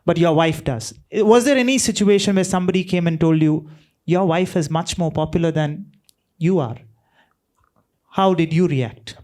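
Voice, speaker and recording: A man's voice: a little high, normal speed, a little mellow. A man moderating a panel, asking long, roundabout questions with light jokes. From India.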